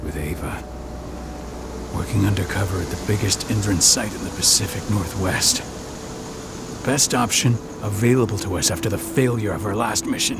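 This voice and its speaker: A middle-aged man playing a stern, wounded pack leader in a video game, speaking in a grave, measured, curt way. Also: lowish voice, gravelly, very noisy. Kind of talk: video game cutscene acting. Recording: loud music behind dialogue.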